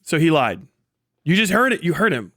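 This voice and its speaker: Exasperated man, higher-pitched voice, casual streamer. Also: big pitch swings, moderate pace, fairly bright tone, no room sound, clean studio sound. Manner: exasperated, incredulous, argumentative. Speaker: livestream host reviewing own debate. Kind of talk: casual livestream commentary with profanity.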